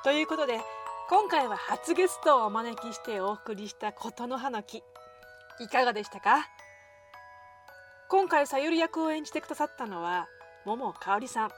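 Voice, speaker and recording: A woman's voice: a little high, expressive, normal speed. A woman hosting a podcast, chatting politely to listeners in an effusive, courteous and self-effacing way. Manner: polite, effusively apologetic and thankful. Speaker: podcast host. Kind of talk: chatty show outro to listeners. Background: music.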